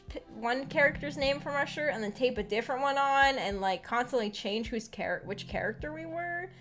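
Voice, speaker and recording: A woman with a fairly high voice talks casually to her livestream viewers, sharing personal stories. She has a giggly, self-deprecating manner, and music plays behind her. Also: a bit bright. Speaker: streamer hosting a visual novel book club. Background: background music.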